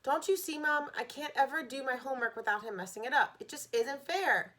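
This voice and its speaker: A woman's voice: a little fast, a bit bright. A woman doing a young boy, indignant and hurt. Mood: pleading. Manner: pleading, indignant, voice pitched up. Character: young boy, upset older brother.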